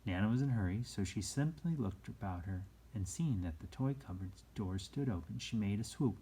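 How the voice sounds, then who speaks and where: A man reading a children's story aloud as an expressive narrator. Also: lowish voice, brisk, slightly rough, rough recording.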